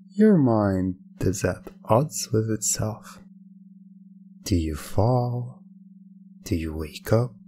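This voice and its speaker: A man performing hypnosis ASMR in a soft, breathy voice, deliberate and teasing, with commanding phrases and many pauses. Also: fairly low pitch. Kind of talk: hypnosis ASMR, slow scripted patter.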